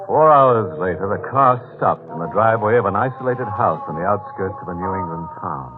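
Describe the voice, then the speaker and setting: An actor playing a ghoulish, mock-friendly horror host in 1940s radio style, drawing out his words with theatrical, macabre relish. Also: deep voice. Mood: grim, suspenseful. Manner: theatrical, drawn-out, macabre deadpan humor. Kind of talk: 1940s radio horror hosting and scripted narration. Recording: tinny old-time radio recording with music.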